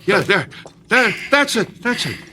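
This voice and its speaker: An actor playing a protective, grumbling old husband in a radio drama, fussing anxiously and stammering over repeated words. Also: male voice, high-pitched, big pitch swings, unhurried, sharp tone, a little hiss or hum, full character voice. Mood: worried, helping.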